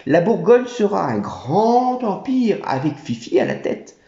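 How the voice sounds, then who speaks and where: A man's voice: high voice, big pitch swings, measured pace, vocal fry, mellow, voice pushed up, heavily acted. A man putting on a fawning monkey general. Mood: boastful. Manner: obsequious, chattering. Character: fawning male monkey general, tax collector. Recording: roomy, dull home recording.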